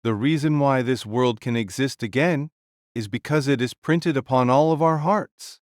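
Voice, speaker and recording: A man's voice: medium pitch, moderate pace, a little mellow, dry recording, studio quality, natural voice. A man voicing a proud, commanding warrior king in an audio drama, with grandiose, declamatory delivery.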